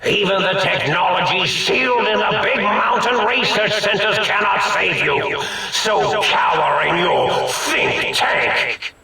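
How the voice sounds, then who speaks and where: Grandiose old mad scientist, high voice, halting menacing drawl, game villain, heavily echoed distant broadcast. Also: male voice, gravelly, sharp tone, faint music behind, exaggerated voice. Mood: gloating. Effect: echoing radio transmission. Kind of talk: theatrical villain broadcast, video game acting.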